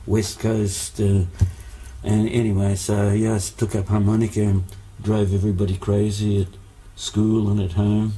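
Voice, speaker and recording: Older man with a flat, dark voice. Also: on the low side, moderate pace, rough recording. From Hungary. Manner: rambling, lots of fillers and restarts. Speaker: musician guest. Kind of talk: casual interview, storytelling.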